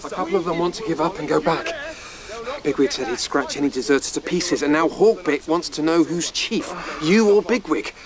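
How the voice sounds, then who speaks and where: A sensible, slightly anxious rabbit go-between in a radio play, acted by a man. His male voice is fairly high. Busy crowd sounds are clear behind him. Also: a little fast, natural voice. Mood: worried, practical. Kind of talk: radio drama acting.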